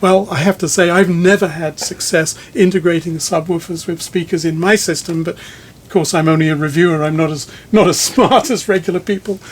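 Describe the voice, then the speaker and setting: A man with a noticeably high-pitched voice leads a technical interview. He talks conversationally, choosing his words thoughtfully and pausing mid-phrase as he builds each question. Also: steady tone, a little hiss or hum. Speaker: magazine editor interviewing a speaker designer. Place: listening room in a home.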